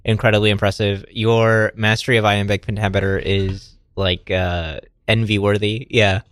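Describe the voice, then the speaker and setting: A man chatting casually as a podcast host, halting and self-deprecating. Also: lowish voice, flat delivery, fairly bright tone, dry recording. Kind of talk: casual chat.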